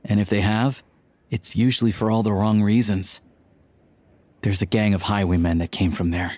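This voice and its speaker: A man playing a patient, caring character in a video game, speaking gently and earnestly. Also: fairly low pitch, fairly even intonation, normal speed, lightly acted. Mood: reflective, wistful. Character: patient, caring man who looks after an old woman. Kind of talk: video game voice acting, dialogue and exposition. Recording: background music under the dialogue.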